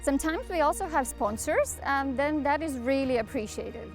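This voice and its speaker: A woman's voice: sits high, lively intonation, moderate pace, soft background music. A woman from Latvia, a dance group member, explaining its story in an interview with a few fillers.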